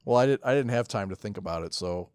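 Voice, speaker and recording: A man with a low voice co-hosts a casual podcast. He talks in a halting, self-deprecating way, feeling his way through his thoughts. The recording is dry, with no room sound. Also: expressive, a little mellow, clear, resonant tone, dry recording. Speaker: podcast co-host. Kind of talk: casual chat.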